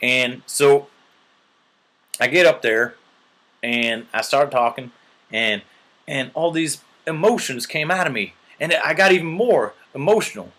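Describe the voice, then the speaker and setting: A man with a medium-pitched voice spins a long, earnest tale. He rambles on in a mock-serious tone and keeps checking in with the listener. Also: expressive, a little slow, a bit bright. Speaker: storyteller.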